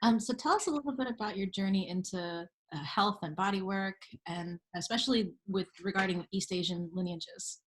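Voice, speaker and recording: A woman of medium pitch hosts a conversational interview. Her voice is somewhat husky rather than clean, and her delivery is casual as she guides the talk. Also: normal speed, few pauses. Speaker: interviewer, host.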